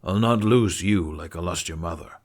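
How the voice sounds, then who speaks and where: A stubborn warrior king and father , performed by a male reader. He speaks low, with curt, commanding authority and a flat, stern tone, as in a dramatized storybook. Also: moderate pace. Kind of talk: dramatized fan fiction reading.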